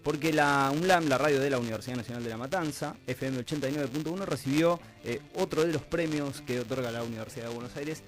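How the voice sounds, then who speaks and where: A man's voice: mid-range voice, fast talker, few pauses. An Argentine man hosts a radio interview in a mid-range voice. He talks conversationally and reflectively, often breaking up long questions as he goes. Faint music plays behind him. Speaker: radio host interviewing guests. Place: radio studio.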